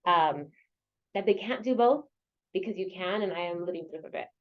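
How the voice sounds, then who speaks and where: A woman's voice: on the low side, normal speed, highs cut off. A woman from El Paso, an agent who leads a local association, answering interview questions in an earnest way that is full of "you know" fillers. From El Paso, Texas. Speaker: real estate agent and local association president. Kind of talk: interview guest, casual conversation. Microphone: video or phone call.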